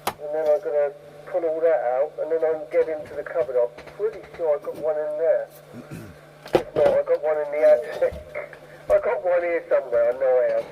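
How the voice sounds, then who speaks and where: Phone-thin CB transmission, static, man, slightly high voice, casual chat, chatty, repetitive emphasis. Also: moderate pace. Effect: CB radio transmission. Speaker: CB radio operator. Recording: tinny narrowband CB radio, clear static noise.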